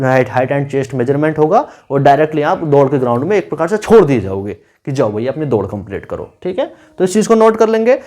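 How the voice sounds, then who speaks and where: Higher-voiced man coaching candidates. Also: a little mellow. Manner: coaching, repeats key points for emphasis. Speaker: exam-guidance YouTuber advising police recruitment candidates. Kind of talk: informal instructional video talk.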